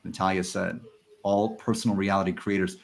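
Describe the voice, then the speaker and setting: A man's voice: sits low, flat delivery, a little fast, creaky, a little hiss or hum. A man hosting a podcast, speaking earnestly and enthusiastically about ideas. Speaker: podcast host. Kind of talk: casual podcast chat.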